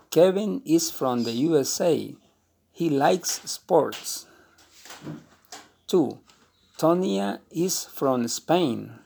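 Guy reading english textbook lessons real slow, raspy kinda fried voice. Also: fairly high pitch, darkish tone. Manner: slow, deliberate, reads every heading and example aloud. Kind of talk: slow textbook read-aloud.

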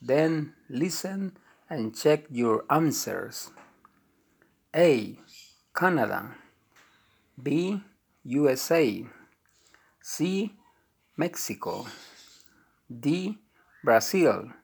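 Slow husky man reading a textbook. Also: a little high, big pitch swings. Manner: slow, deliberate listing with rising and falling item calls. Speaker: textbook audio reader. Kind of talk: reading an English course book aloud.

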